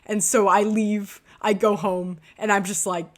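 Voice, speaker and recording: A woman with a mid-range voice tells a funny story about herself in a relaxed, chatty way. She pokes fun at her own failures with lively comic timing. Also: medium pitch, a little slow. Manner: self-deprecating, animated comic storytelling. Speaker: gaming vlogger. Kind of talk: casual storytime narration over gameplay.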